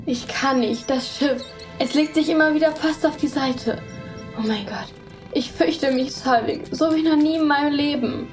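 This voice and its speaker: A woman's voice: sits high, normal speed, lightly acted. An actress playing a frightened, pleading young girl in a German radio play, with music behind her. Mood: fearful, pleading. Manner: fearful, pleading, emotional. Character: frightened young girl aboard a medieval ship.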